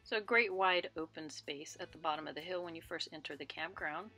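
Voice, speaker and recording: A woman giving a scripted voice-over as a travel vlogger, with a clear, informative delivery. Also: low voice, brisk, loud music behind the voice.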